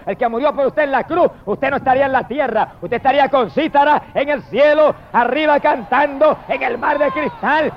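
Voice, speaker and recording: Male evangelical preacher, high register, fervent declamatory delivery with drawn-out praise, on a dull low-fidelity recording. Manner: emphatic and declamatory, drawing out exalted phrases, addressing listeners directly.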